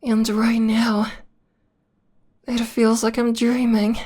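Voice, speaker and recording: A possessive female vampire lover, acted by a woman for an ASMR roleplay, speaks in a breathy, whispery voice that sits fairly high. She is slow and lingering, clingy and tender, and her pitch stays very even as she dotes on her beloved. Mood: dreamy adoration.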